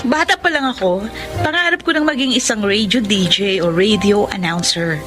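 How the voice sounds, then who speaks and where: An actress playing a bubbly, expressive young woman who dreams of radio, in a radio drama. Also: mid-range voice, moderate pace, lightly acted. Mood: wistful. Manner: perky, expressive. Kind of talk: radio drama acting and narration. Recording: music underneath.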